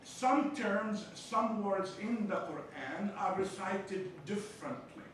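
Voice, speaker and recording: A man's voice: sits high, lively intonation, slow pace, a bit bright. Arabic-accented man lecturing in an echoey room. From Palestine. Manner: jokey, teasing asides between explanations. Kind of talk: seminar lecture. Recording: echoey room, distant mic, background noise.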